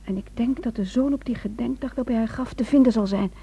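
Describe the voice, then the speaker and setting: A caring, worried wife in a radio play. Her voice is fairly high yet mellow and breathy, and she speaks softly with some hesitation. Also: fast, heavily acted. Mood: hushed, confiding. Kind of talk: radio drama acting.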